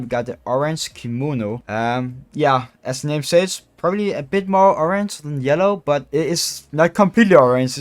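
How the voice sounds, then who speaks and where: A young man with a Danish accent, a gaming video creator giving casual, chatty voice-over commentary with many fillers. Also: medium pitch. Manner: relaxed and chatty, many fillers, laughs at own pronunciation.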